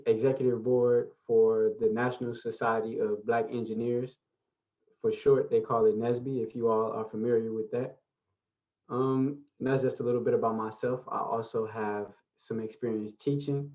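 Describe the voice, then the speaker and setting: A man's voice: mid-range voice, level tone, moderate pace, a little mellow, low-quality recording. A man in his twenties presenting an online workshop, talking in an easygoing way with many fillers over a video call. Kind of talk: relaxed workshop facilitation.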